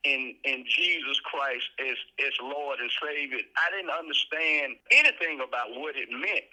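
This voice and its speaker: A man's voice: medium pitch, sharp tone, rough recording. A man from the Memphis area, telling his personal testimony as a guest, earnestly and with frequent repeated words as he gathers his thoughts. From Memphis area, southern United States.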